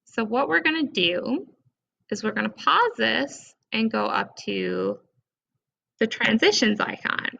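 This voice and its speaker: A woman presenting a casual step-by-step software tutorial in an informal, conversational way, recorded with dull, lo-fi sound. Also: on the high side, expressive, a little slow, gravelly.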